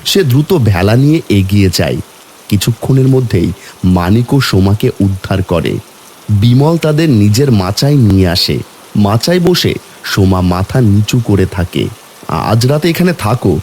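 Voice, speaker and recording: A man reading scripted narration for an animated moral story as its storyteller. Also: fairly low pitch, normal speed, a little hiss or hum.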